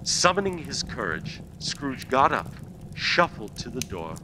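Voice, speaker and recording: Expressive male radio-play narrator. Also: medium pitch, unhurried, noisy background. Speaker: narrator and announcer.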